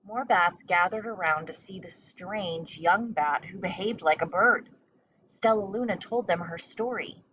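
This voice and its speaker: A woman's voice: fairly low pitch, unhurried, far from the mic, a little hiss or hum. Woman reading a children's story, slightly dull sound. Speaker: storybook reader. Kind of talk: children's story read-aloud.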